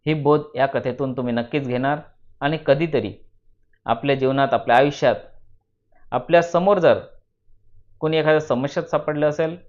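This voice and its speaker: A man from narrates a children's moral story in a deliberate, teacherly way, repeating phrases for emphasis. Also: a little high, a little mellow. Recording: dull lo-fi voice recording.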